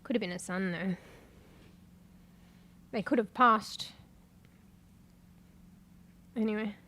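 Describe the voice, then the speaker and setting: A congested woman chatting casually on a livestream, rambling, swearing and breaking into sudden loud exclamations as she reads things aloud. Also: medium pitch, slow pace. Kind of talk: casual stream chat, reading aloud.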